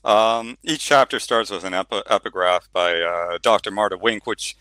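Rambling middle-aged man, bright and dry. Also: lowish voice, moderate pace, no echo. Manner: rambling, with many fillers and restarts. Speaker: novelist guest. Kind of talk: casual interview talk, then reading aloud from his novel.

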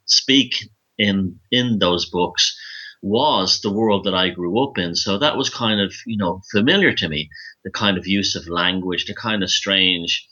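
A man in his fifties with a Dublin Irish accent tells stories as a guest, stumbling and restarting as he goes. His low voice has a bright, forceful edge. The sound comes through a dull, lo-fi remote line. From Ireland. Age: 50s. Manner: animated storytelling with stumbles and restarts. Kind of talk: conversational interview.

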